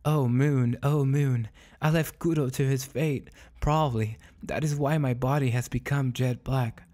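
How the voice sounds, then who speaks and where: A man's voice: mid-range voice, a little mellow, close to an everyday voice. Man reading as a sorrowful, solemn runaway dog. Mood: anguished, ashamed. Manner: solemn, plaintive, formal. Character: bitter, sorrowful runaway dog confessing to the moon. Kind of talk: literary storybook soliloquy.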